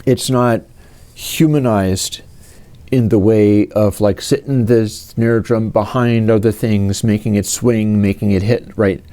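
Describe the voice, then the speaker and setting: A man's voice: lowish voice, fairly even intonation, takes it slowly, mellow. A man in his fifties from the United States, answering viewer questions in a casual, rambling way with many fillers and restarts. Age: 50s.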